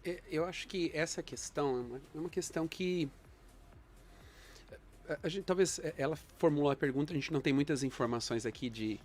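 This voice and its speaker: A man, a guest psychologist on a panel, speaking in a measured, reflective way with frequent fillers. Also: fairly high pitch, darkish tone, a little hiss or hum. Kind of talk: thoughtful panel discussion.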